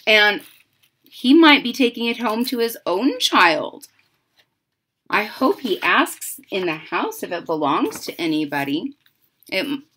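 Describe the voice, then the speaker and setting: Animated middle-aged woman reading to children. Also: medium pitch, slightly rough. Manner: dramatic, deliberate, explains things simply to young listeners. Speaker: storyteller reading a picture book aloud to children. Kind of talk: expressive children's read-aloud with explanatory asides. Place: indoors, small home room.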